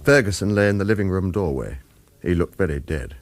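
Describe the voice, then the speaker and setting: A man playing a wry, hard-boiled hero in a radio thriller, speaking in a terse, dry, husky way. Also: deep voice, animated, a little fast. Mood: tense. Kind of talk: scripted first-person radio thriller narration and dialogue.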